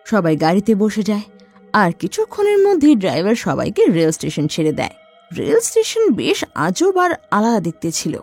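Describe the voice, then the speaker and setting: A woman narrating a horror story in a lively storytelling manner. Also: mid-range voice, normal speed, faint music behind.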